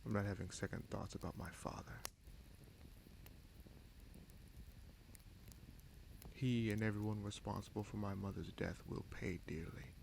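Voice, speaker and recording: A man playing a vengeful, brooding bastard prince and sorcerer in a fantasy audio roleplay, speaking in a measured, solemn, halting way. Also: on the low side, fairly even intonation, gravelly, noisy background. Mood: grave, brooding.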